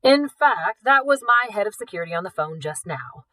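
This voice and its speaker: A woman's voice: mid-range voice, expressive, moderate pace, pushed and bright, dry recording. A woman voicing a pompous male museum director from a storybook, formal and full of authority. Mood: smugly reassuring.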